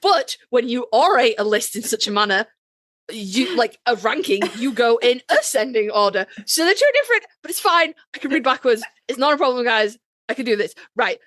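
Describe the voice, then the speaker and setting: Playful woman podcaster, higher voice, close and dry. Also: expressive, a little fast, a bit bright, dry recording. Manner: playful, bantering, self-deprecating. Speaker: podcast co-host. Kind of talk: casual chat.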